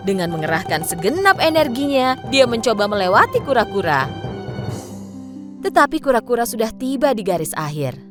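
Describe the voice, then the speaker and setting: A woman narrating a children's cartoon story in an expressive, sing-song storytelling manner. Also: fairly high pitch, fairly quick. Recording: faint music behind. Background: faint background music.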